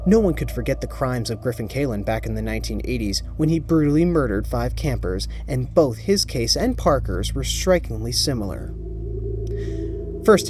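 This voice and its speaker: Dramatic male horror narrator over music. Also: mid-range voice. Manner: dramatic, measured, pausing for suspense. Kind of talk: scripted horror story narration. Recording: music behind the voice.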